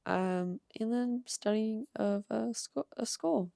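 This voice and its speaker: A young woman with a cold and a slightly hoarse voice, rambling casually with ums and soft laughs as she shows her art. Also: a little high, slow pace, dry recording, studio quality. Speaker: art channel creator. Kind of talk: casual sketchbook tour voice-over.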